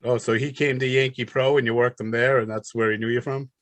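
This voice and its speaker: A man's voice: medium pitch, fairly even intonation, fairly quick, no room sound. Male interviewer asking casual questions.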